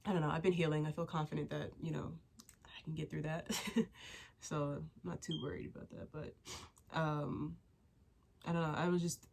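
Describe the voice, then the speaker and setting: A young woman with a low voice talks openly and at length to her viewers. She is getting over a cold, so she sounds stuffy and sniffles now and then. Her sentences ramble, full of "like" and "you know" and restarts. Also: little pitch movement, moderate pace, creaky, long pauses, a little mellow, slight background noise. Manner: rambling and candid, many fillers and restarts. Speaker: vlogger. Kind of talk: casual chat to camera.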